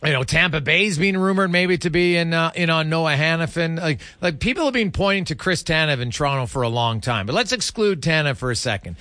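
A male sports host with a very bright, forward voice pitched a little high. He talks in a conversational interview style, and the sound is close and dry. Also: animated, a little fast, hardly any pauses, no room sound.

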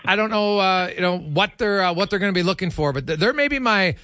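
A man's voice: high-pitched, lively intonation, a little fast, pushed and bright, no room sound, studio quality. A man giving opinionated, conversational hockey analysis as a guest, speaking off the cuff with emphasis. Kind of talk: unscripted hockey talk.

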